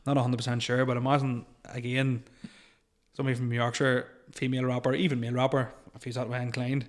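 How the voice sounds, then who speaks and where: A man's voice: medium pitch, little pitch movement, fast. A man hosting a casual banter podcast, rambling and crude, laughing often. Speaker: podcast host.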